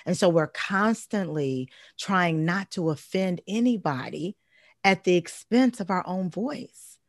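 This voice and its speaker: A woman in her forties with a heavy, deep voice, telling stories in an emphatic, animated way as a guest in a casual interview. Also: unhurried, dry recording, studio quality. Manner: emphatic, animated storytelling with repeated phrases. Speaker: guest speaker and life coach.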